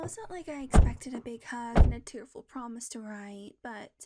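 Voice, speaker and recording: A woman acting as a sardonic, ageless female vampire in an audio roleplay, teasing in a dry tone and slipping into soft whispered asides. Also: high voice, slight background noise.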